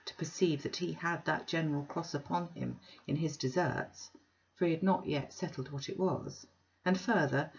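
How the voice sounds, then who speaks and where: A woman narrating a classic novel as an audiobook, in a measured, even storytelling manner. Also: deep voice, vocal fry. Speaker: audiobook reader. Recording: dull lo-fi recording.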